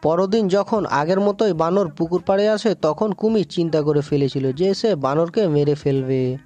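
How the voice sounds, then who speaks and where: A man's voice: a little high, normal speed, mellow, no room sound, highs cut off. A man giving scripted narration of an animal fable as a storyteller.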